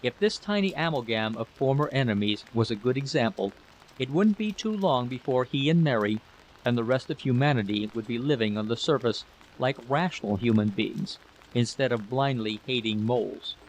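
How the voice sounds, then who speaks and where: A man's voice: mid-range voice, lively intonation, normal speed, poor audio. A man reading a scripted story as its narrator.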